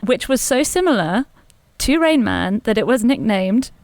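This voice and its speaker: A woman's voice: on the high side, lively intonation, normal speed, darkish tone, dry recording. A woman co-hosting a podcast, discussing film history in an analytical, explanatory way. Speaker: podcast co-host.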